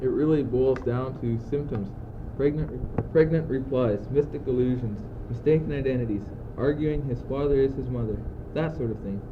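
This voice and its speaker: A man's voice: medium pitch, moderate pace, hardly any pauses, natural voice. A teenage male student acting in a school radio play as an anxious, exasperated, brooding young courtier, declaiming in clipped phrases. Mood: dry, deliberate. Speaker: student actor. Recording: muffled phone-like old tape, loud noise.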